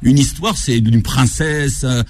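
A man's voice: mid-range voice, a little slow, dry recording. A man speaking as a guest author on a radio talk show, telling anecdotes in an enthusiastic, colloquial way and acting out reported dialogue. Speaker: guest author presenting his book on African football.

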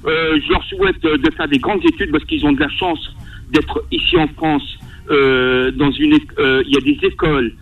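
Male radio caller, high gravelly voice, hesitant, tinny distant phone line. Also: expressive, moderate pace. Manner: hesitant, many fillers and restarts. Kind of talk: call-in discussion.

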